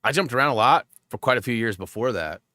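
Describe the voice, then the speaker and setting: A man's voice: medium pitch, animated, quick pace, pushed and bright, dry recording, clean studio sound. A man hosting a gaming livestream in a rambling, casual way, full of fillers, and a bit stuffed up. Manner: rambling, filler-heavy, lots of "like". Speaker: livestream host.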